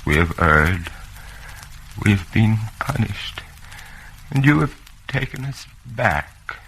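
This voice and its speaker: Low, very slow, broken man. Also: very animated, a bit bright, heavily acted. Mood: abject devotion. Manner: slow, abject, devotional. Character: broken, submissive man professing love for his leader. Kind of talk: mid-century radio drama acting. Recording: faintly noisy old radio recording.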